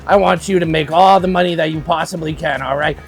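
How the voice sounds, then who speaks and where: A man voicing a brash sports-betting ad pitchman with a loud hard-sell patter. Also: steady tone, fairly quick, soft background music, lightly acted. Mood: hyped.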